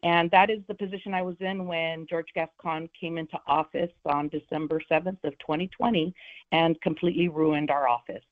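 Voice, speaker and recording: A woman's voice: low voice, steady tone. Woman prosecutor from LA county on the phone to a radio show, firm, lots of uhs, tinny phone sound. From Los Angeles County. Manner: firm, measured, with frequent "uh" fillers. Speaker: prosecutor and political candidate. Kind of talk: radio interview guest. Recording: phone line.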